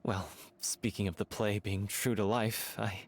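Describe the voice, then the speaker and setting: An actor playing a heartsick young male theater troupe member in a game, talking in an earnest, measured, confessional way. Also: fairly low pitch, fairly even intonation.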